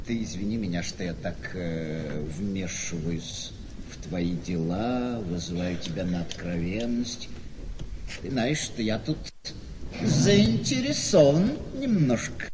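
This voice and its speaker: An actor playing a jovial, nosy rich idler in a theatrical radio play. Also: male voice, sits low, expressive, slow. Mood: confidential. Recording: noisy, echoing hall-like radio play recording.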